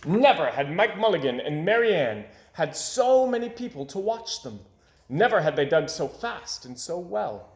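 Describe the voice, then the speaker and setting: A man with a slightly high, very animated voice narrates a children's storybook. He is dramatic, shifting volume and tempo to build suspense. The recording has a noticeable room echo. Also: normal speed. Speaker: storybook reader. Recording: roomy home recording.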